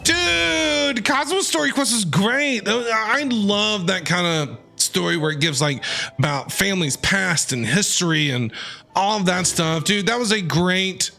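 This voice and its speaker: A man's voice: high-pitched, animated, measured pace, creaky, bright, forceful tone. A man streaming a video game, giving enthusiastic, casual reaction commentary in an informal way. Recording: music behind the voice. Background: game music.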